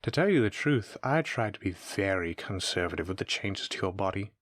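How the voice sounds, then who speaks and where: Man playing a gleeful, low-voiced taunting monster. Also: lively intonation, a little fast, no echo, clean studio sound. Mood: gloating. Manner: gleeful, mocking, theatrical, leisurely musing. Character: taunting sadistic centuries-old male monster keeping a human pet. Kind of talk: scripted horror audio roleplay addressed to the listener.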